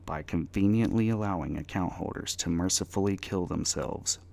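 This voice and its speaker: Deep, gravelly male audiobook narrator. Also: normal speed, darkish tone. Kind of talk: dramatic reading of scripted fiction.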